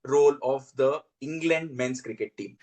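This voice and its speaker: A man co-hosting a podcast in casual chat, setting up his points in a measured, wry way. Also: mid-range voice, darkish tone. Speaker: podcast co-host.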